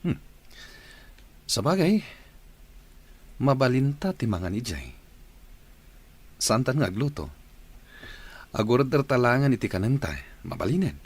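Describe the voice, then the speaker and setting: A man's voice: medium pitch, big pitch swings, a little slow, pauses often, breathy edge, exaggerated voice. An actor playing a married village man and drinking buddy in a radio drama. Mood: casual, reluctant.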